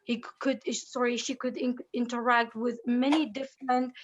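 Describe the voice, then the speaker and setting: A woman teacher and parent talking casually in an online meeting, halting and searching for words. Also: a little high, level tone. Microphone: video call. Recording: lo-fi video call audio.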